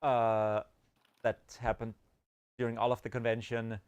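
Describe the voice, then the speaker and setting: A man's voice: mid-range voice, flat delivery, a little slow, a little mellow, clean tone, dull audio. A man on a casual livestream talking at length about technical work, full of fillers and restarts. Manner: rambling technical talk, many fillers and restarts. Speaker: developer and podcast co-host.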